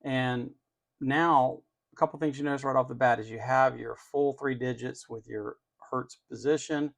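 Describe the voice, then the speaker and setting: Creaky-voiced man demonstrating electronics. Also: medium pitch, steady tone, moderate pace. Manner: explanatory, opinionated, emphatic on key words. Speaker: host of an electronics channel. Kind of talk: unscripted tech demonstration.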